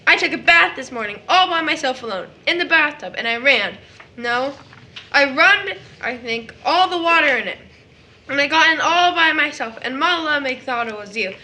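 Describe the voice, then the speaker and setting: A woman's voice: high voice, lively intonation, moderate pace, pushed and bright, slight background noise. A woman playing a chatty, polite small boy in an audio drama, full of innocent questions and eager insistence. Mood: excited, proud.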